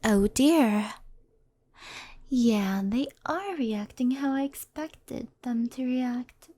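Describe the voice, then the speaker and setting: Casting: woman as gentle, mischievous kitsune mentor for ASMR roleplay; mellow, slow delivery, soft intimate whispering. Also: fairly high pitch, no echo. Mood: softly anxious. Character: gentle mischievous female fox spirit, doting mentor, faintly anxious.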